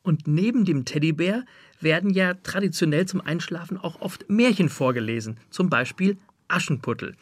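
Male interviewer, slightly high-pitched, prepared moderation style, dry studio-like sound. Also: lively intonation, normal speed, dry recording. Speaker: interviewer, radio host.

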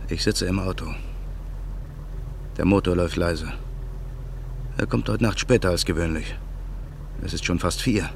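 A grim, gravelly avenger. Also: male voice, deep voice, a little hiss or hum. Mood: brooding, tense. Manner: slow, brooding inner monologue. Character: grim man plotting revenge, brooding. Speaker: narrator and lead character. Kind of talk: radio play acting.

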